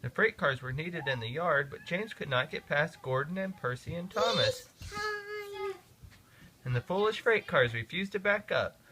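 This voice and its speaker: A father reading a children's picture book aloud in an expressive, sing-song way. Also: a little high, normal speed, a little hiss or hum, rough recording. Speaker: father reading to his kids.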